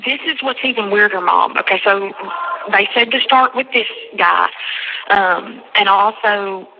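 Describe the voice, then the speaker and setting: A woman on a recorded jail phone call, recounting a conversation in a halting, repetitive way and quoting others at length. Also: medium pitch, creaky, background noise. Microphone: telephone handset.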